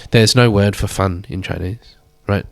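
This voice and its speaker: A man in his thirties, a guest speaking thoughtfully in a measured, drawn-out way. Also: lowish voice, creaky, clean tone, no echo. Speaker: guest artist. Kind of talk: reflective conversation.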